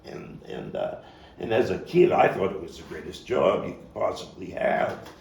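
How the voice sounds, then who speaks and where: Man acting deep, soul-searching nostalgic Brooklyn man. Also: sing-song, vocal fry, heavily acted. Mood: wistful, tender. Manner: halting, repeating words, swinging from hushed to emphatic. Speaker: playwright and actor. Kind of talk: dramatic stage monologue at a table read. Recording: distant mic, roomy echo, faint noise.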